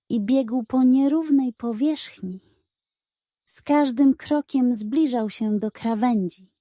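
A woman's voice: on the high side, mellow, dry recording, studio quality. A woman narrating a children's detective story in a scripted, dramatic and suspenseful storytelling style.

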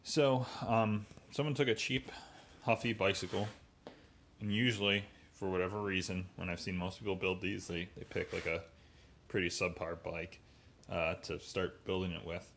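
A man with a low-pitched voice talks through a repair project in a casual, halting way, as if thinking aloud. He is recorded on a handheld phone in a garage, with some noise behind him. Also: level tone, fairly bright tone. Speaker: host showing a repair project. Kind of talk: casual hands-on walkthrough. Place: indoors in a garage. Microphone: handheld phone camera, off screen.

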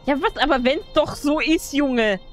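A woman's voice: high voice, lively intonation, moderate pace, soft background music. A young woman, a streamer teasing her co-op partner in casual gaming chat, laughing often.

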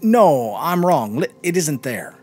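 Man acting an inquisitive, animated young sailor. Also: a little high, takes it slowly, slightly rough, soft background music, heavily acted. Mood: searching. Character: young seafaring man, inquisitive, returning home.

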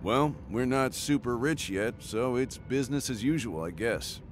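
A man playing a calm, pensive mercenary commander in a sci-fi video game, speaking in a measured, matter-of-fact way. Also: mid-range voice, slight background noise, close to an everyday voice. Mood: calm, thoughtful. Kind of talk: video game cutscene acting. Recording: music under the voice. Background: music.

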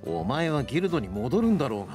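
An actor playing a cool, caring young knight and older brother in an anime game radio drama, dry and exasperated. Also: male voice, medium pitch, very animated, quick pace, a little mellow, faint music behind, close to an everyday voice. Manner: matter-of-fact, dry.

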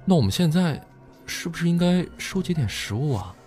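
A man's voice: on the high side, very animated, fairly quick, darkish tone, faint music behind. Man acting worried student with swooping intonation. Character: worried, chatty male student with glasses. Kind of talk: audio drama acting.

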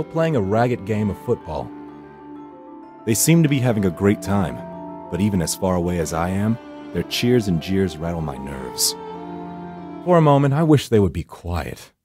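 A man reading first-person horror narration for a visual novel in a brooding, theatrical storytelling manner. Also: fairly low pitch.

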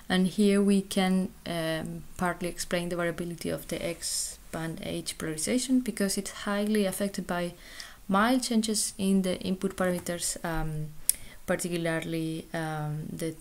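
A woman research scientist giving an explanatory conference presentation, hesitant, with frequent pauses and ums. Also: medium pitch, darkish tone.

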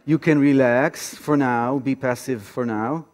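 Halting Israeli man in a reverberant hall. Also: medium pitch, darkish tone. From Tel Aviv, Israel. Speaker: activist guest lecturer. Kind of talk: informal public lecture with personal storytelling. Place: on stage in a large theater auditorium.

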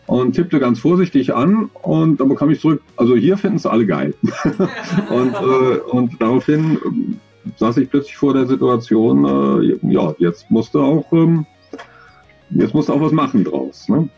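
A man in his forties, an audio drama author, talking thoughtfully in an interview over a video call, with many pauses and fillers. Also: medium pitch, animated, gravelly, mellow, faint music behind. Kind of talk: relaxed conversational interview.

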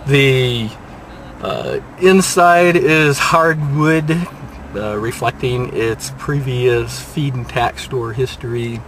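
An older man talks slowly into a dashboard camera from inside a parked car. His delivery rambles along, sprinkled with dry jokes at his own expense. A faint hum of vehicle and street noise sits behind his voice. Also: medium pitch, fairly bright tone. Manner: unhurried rambling delivery with dry self-mocking asides. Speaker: restaurant reviewer. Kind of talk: casual restaurant review vlog. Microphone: dashboard camera at close range.

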